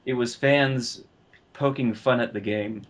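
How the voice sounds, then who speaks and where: A man co-hosting a casual discussion, speaking haltingly with many pauses and repeated phrases. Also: mid-range voice, fairly even intonation. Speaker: podcast co-host. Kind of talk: casual film and game discussion.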